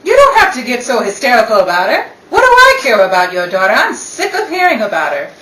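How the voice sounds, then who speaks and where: A woman's voice: fairly high pitch, huge pitch swings, brisk, fairly bright tone. A woman playing a brazen, cynical mistress, hard, dismissive and sardonic, in a 1930s-style radio drama. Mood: cool defiance. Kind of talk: 1930s radio play acting. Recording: echoey room, distant mic, faint noise.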